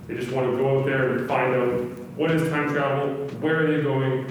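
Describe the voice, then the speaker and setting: A man's voice: medium pitch, little pitch movement, normal speed, slight background noise. A man giving casual, reflective answers as an interview guest. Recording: echoey hall, distant, faint music.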